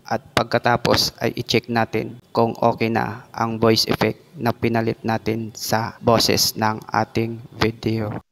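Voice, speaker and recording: A man's voice: on the low side, monotone, a little slow, vocal fry, fairly bright tone. A man with a Filipino accent giving a polite, step-by-step app tutorial voice-over as the host. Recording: noisy recording with clicks.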